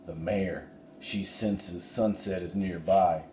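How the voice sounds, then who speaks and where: A man voicing a calm, commanding cowboy leader in a storybook, giving clipped orders in a hushed voice. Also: low-pitched, takes it slowly. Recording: roomy echo, faint background noise.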